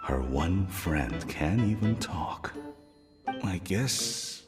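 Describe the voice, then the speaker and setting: A man voicing a stern but softhearted middle-aged father, gruff and halting, in a video game. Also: low-pitched, animated, exaggerated voice. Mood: stern, softening. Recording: music behind.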